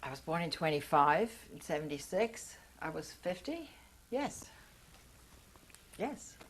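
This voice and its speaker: A woman's voice: deep voice, very animated, a little slow, husky. Older German-native woman, reflective and earnest. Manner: reflective, earnest and searching for words. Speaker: interviewee. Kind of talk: oral history interview.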